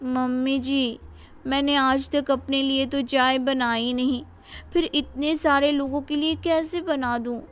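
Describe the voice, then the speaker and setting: Woman as a spoiled, high-pitched young daughter-in-law. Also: little pitch movement, slow pace. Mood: whiny. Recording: music behind the voice.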